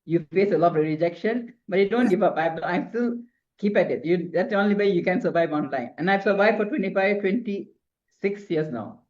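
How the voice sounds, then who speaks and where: A woman's voice: on the low side, expressive, a little fast, a little mellow. A middle-aged woman from Singapore, a marketing consultant giving advice as an interview guest, rambling with many restarts. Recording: dull lo-fi sound.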